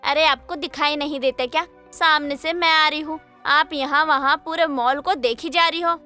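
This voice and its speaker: A young wife in an audio story drama, acted by a woman. Her voice is high and bright, with an emotive delivery. Music plays under her lines. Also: fairly even intonation, normal speed. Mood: irritated. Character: lonely, pleading young wife, affectionate but easily irritated. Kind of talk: Hindi moral-story audio drama acting.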